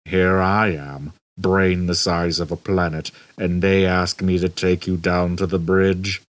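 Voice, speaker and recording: A man voicing a depressed, bored robot in an audiobook, droning slowly with gloomy sarcasm. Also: level tone. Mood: weary resentment. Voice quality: low, droning. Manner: slow, gloomy, sarcastic muttering. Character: hopelessly depressed, bored male humanoid robot. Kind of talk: audiobook character voice.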